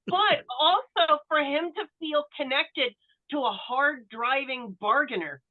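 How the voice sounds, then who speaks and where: A woman in a conversational podcast discussion, analytical and halting, repeating words as she works through her points. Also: high voice. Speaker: podcast panelist. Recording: thin, phone-like band-limited connection.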